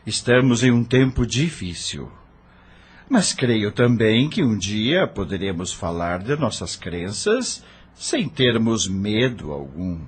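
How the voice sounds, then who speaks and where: A gentle, worried doctor father from an audio drama. His low, slightly husky voice moves slowly and rises and falls a great deal. He speaks softly and earnestly. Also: big pitch swings, exaggerated voice. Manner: soft-spoken, tender, earnest.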